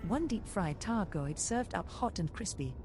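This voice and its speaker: A woman's voice: mid-range voice, expressive, moderate pace, over music, close to an everyday voice. A woman voicing a playful female spaceship computer, announcing combat updates and quips in a game voice pack. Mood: playful, congratulatory. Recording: loud game noise behind voice.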